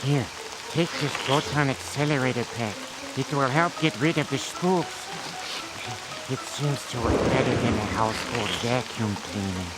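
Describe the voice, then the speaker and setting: An actor voicing a gloomy, hunchbacked butler with a slow, solemn, ominous delivery. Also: male voice, medium pitch, heavy background noise, natural voice.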